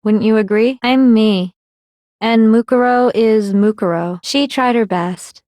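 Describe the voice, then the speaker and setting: A woman's voice: a little high, measured pace, a little mellow, no room sound, clean studio sound, close to an everyday voice. A woman voicing a smug, coldly analytical teenage girl villain in an anime video game, composed and condescending as she explains herself. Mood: playful, smug.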